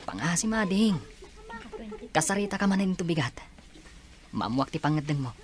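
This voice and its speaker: A woman acts out an earnest older male schoolmate for an audio drama. The voice sits low for a woman, with a coaxing, flattering manner meant to persuade. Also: moderate pace, natural voice. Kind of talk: Ilocano audio drama acting.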